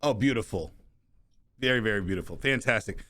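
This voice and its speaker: A man's voice: fairly low pitch, brisk, a bit bright. A man hosting a business podcast, asking questions with enthusiasm. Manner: enthusiastic, friendly. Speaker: podcast host. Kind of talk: interview over video call. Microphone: studio microphone.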